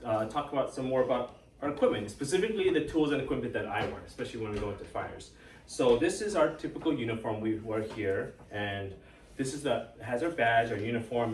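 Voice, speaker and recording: A firefighter from Los Angeles, casually explaining his job and gear to a class of children. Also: medium pitch. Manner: easygoing, explains plainly with fillers. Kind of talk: casual career-day talk to children. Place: fire station. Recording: echoey station room, far from the mic, noisy.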